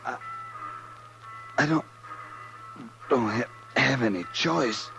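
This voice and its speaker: An actor playing a wounded, resigned outlaw speaking weakly and haltingly in a 1950s radio western. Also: male voice, mid-range voice, gravelly, bright, forceful tone, faint music behind, full character voice, rough recording. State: weak, halting speech.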